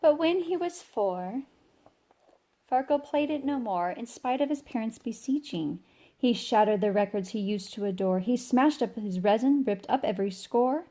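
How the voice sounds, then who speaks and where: A woman reading a rhyming children's picture book aloud in an expressive, sing-song way. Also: a little high, normal speed. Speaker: storybook reader.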